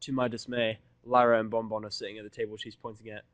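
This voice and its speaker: A man reading first-person fan fiction as an audiodrama in a sardonic, grumbling, deadpan manner. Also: lowish voice, fast talker, dry recording. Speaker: audiodrama reader.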